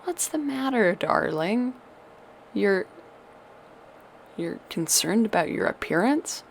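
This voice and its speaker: A woman's voice: mid-range voice, big pitch swings, slow, creaky, a little hiss or hum, full character voice. A woman playing a tender, doting witch girlfriend who soothes a monster lover with old-fashioned endearments in an ASMR audio roleplay. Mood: tender concern.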